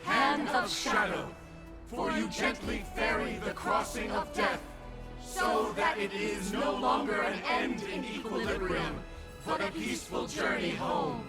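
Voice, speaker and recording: A woman's voice: a little high, big pitch swings, measured pace, pauses often. A woman playing a solemn, reverent celebrant in a game, declaiming formal praises. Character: reverent female celebrant chanting solemn praises of divine heroes. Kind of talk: ceremonial scripted game acting. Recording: loud music under the voice.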